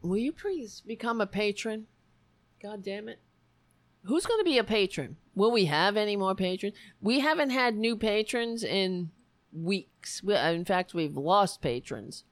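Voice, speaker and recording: A woman hosting her own political show, hoarse from losing her voice. She rants in a sarcastic, scattered way, with a slow, drawn-out pace. Her voice is recorded dry and close, with no room sound. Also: medium pitch, animated, no room sound. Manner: ranting, sarcastic, scattered asides. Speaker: political podcast host. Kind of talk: solo political commentary.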